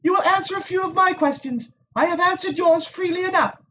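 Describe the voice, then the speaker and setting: A man reading an audiobook voices a composed sorceress who speaks quietly and in a measured way. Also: very high-pitched, highs cut off, slight background noise, pitched above the performer's own voice.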